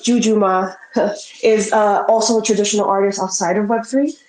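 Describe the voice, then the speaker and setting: A woman with a stuffy cold chatting casually as a guest artist on an online call, often pausing to clarify herself. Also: medium pitch, steady tone, a little mellow, slight background noise. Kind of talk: casual chat. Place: live online audio call from New York. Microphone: headset. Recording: roomy, echoing online call.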